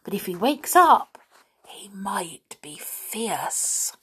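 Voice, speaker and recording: A woman's voice: mid-range voice, very animated, very slow, a bit bright, a little hiss or hum, exaggerated voice, poor audio. A woman voicing a bold, chatty little girl from a storybook, emphatic and exclaiming. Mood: alert.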